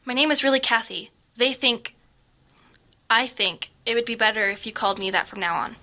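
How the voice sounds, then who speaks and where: A woman playing a troubled, fragile and dramatic teenage girl in an audio drama, guarded, halting and defensive. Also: fairly high pitch, bright, forceful tone. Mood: fragile, insistent.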